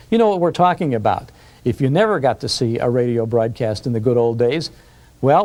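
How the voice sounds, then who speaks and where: A man's voice: fairly high pitch, darkish tone. An older American man presenting a scripted introduction to camera as host. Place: recording studio control room, indoors.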